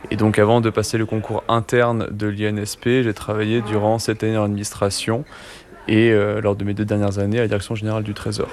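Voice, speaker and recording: A man from Auvergne, France, a civil service student, giving brief, relaxed answers in an interview. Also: fairly low pitch, fast.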